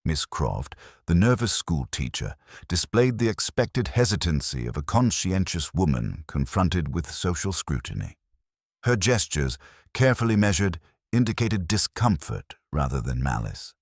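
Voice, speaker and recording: A man with a very deep voice narrates a detective story in measured, formal phrasing. His pitch rises and falls in an animated way, and the recording is dry and close, free of room sound. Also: gravelly, slightly rough, dry recording. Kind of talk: scripted mystery story narration.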